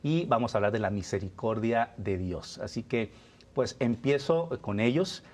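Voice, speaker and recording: A man's voice: mid-range voice, lively intonation, normal speed, a little mellow. Man hosting a religious talk show. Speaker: host of a religious TV program. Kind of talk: conversational presenting and interviewing.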